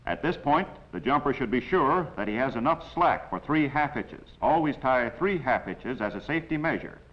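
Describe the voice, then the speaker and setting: A man reading scripted instructional narration in a measured way, as the narrator of a training film. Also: mid-range voice, animated. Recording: dull, noisy old 16mm film soundtrack.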